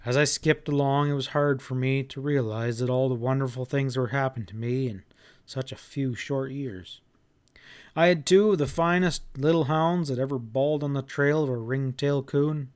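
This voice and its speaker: A man narrates a classic novel in the first person. His mid-pitched voice is folksy and expressive, leaning into the drama of the telling. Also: moderate pace, smooth. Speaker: audiobook narrator.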